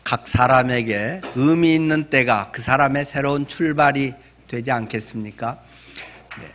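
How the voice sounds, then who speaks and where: A man's voice: medium pitch, measured pace, a bit bright, slight background noise. A man around sixty, a pastor preaching in a conversational, explanatory way with asides and rhetorical questions. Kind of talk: sermon.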